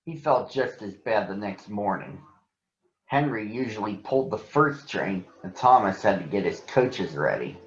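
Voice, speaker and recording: A man's voice: fairly low pitch, expressive, a little slow. A man narrating a children's story to young listeners as a storybook reader. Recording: roomy echo, dull sound.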